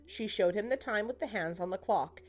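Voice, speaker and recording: A woman's voice: mid-range voice, normal speed. A woman narrating a children's picture book as a scripted storytime read-aloud.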